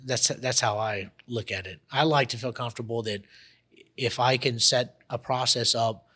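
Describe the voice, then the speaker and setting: Male guest, bright tone, rambling delivery with restarts, radio interview. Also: medium pitch, fairly even intonation, moderate pace. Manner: rambling, many restarts and fillers. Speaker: guest, automation entrepreneur.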